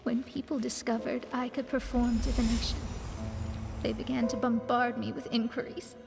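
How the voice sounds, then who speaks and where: High-voiced young genius astrologist, proud, theatrical, teasing, anime game dialogue, music behind. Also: female voice, little pitch movement, normal speed, gravelly. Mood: earnest, composed. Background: background music.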